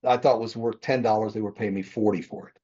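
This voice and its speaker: An older man in his sixties talks through his past in a loose, meandering way, often stopping to correct himself. His voice is low, dark and a little husky. The podcast audio sounds dull and lo-fi. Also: steady tone, quick pace. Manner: rambling, self-correcting, chuckles often. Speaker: podcast guest, real estate developer. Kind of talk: conversational interview storytelling.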